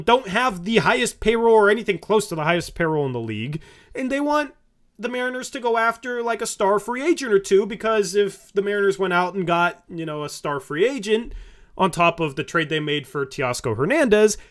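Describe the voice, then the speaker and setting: Exasperated young man in casual sports talk. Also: high voice. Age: 20s. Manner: animated and exasperated, talks over his partner. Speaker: podcast co-host.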